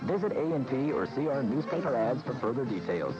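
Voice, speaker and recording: Lively male radio commercial announcer. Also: medium pitch, a little fast. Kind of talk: scripted radio ad read. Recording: dull AM radio recording, music behind.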